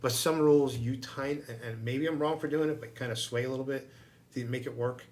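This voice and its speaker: A man talks at a quick clip in a medium-pitched voice. He is a fire chief giving his opinions in a casual discussion, blunt and emphatic, often repeating a phrase for weight. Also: steady tone, darkish tone.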